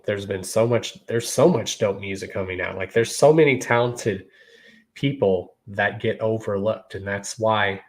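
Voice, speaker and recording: A man in his thirties hosting his own podcast, talking casually to viewers and filling his speech with "you know" and "y'all.". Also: fairly low pitch. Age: 30s. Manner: laid-back, repeats "you know" and "y'all". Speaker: podcast host.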